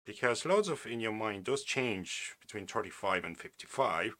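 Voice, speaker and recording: A man's voice: on the low side, expressive, moderate pace, sharp tone. A man hosting his own podcast, reading a news article aloud and adding wry, opinionated asides with frequent restarts. English is not his first language. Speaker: podcast host.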